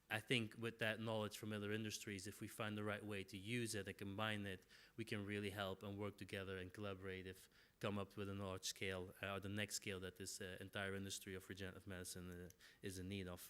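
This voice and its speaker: A middle-aged man from Belgium giving a conference presentation in a relaxed way, with frequent fillers and restarts. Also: lowish voice, clear, resonant tone. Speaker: conference speaker.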